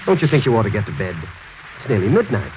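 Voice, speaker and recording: Grave, expressive doctor in old radio. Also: male voice, on the low side, fast. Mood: uneasy concern. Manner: grave, reasoning, admonishing. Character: sober, skeptical male doctor, concerned family friend. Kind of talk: 1940s radio drama acting. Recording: noisy, muffled 1940s radio recording.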